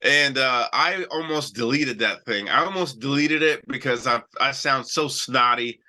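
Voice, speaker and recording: A man with a very bright, sharp-edged voice hosts a live trading stream in a loose, chatty way. He has a cold and keeps circling back with "all right" as he talks through charts. His delivery is casual and full of restarts. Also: mid-range voice, measured pace. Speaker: stock trading show host.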